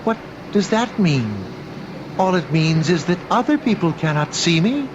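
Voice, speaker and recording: An actor playing a courteous, gently insistent old man's ghost, a lonely shopkeeper, in an old-time radio drama. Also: high voice, big pitch swings, unhurried. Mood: calm, uncanny. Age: older. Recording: noisy, muffled old radio drama recording.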